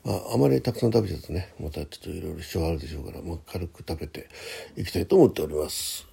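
A man's voice: sits low, rapid-fire, creaky, mellow, slightly rough. A man hosting his own casual talk show, chatting solo in a hesitant, conversational way with little asides agreeing with himself. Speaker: host of a personal audio talk show. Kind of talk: casual solo radio chat, reading listener messages.

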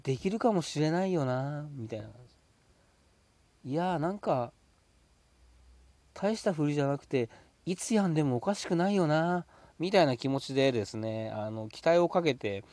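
A man hosting a casual solo talk show, speaking politely with drawn-out hesitations between phrases in a dry, close room. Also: fairly high pitch, lively intonation, darkish tone, no room sound. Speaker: podcast host.

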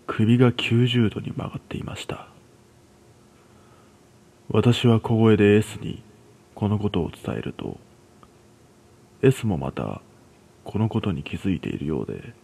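Low, husky male ghost story narrator. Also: warm tone. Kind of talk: scripted ghost story reading in first person.

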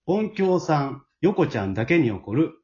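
A man's voice: on the high side, very animated, normal speed, a little mellow. A man with a Kansai accent hosting a casual group chat, polite and talkative, often echoing and affirming the others, on a dull online-call recording. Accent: Kansai Japanese. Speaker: host of an amateur radio drama group's talk show.

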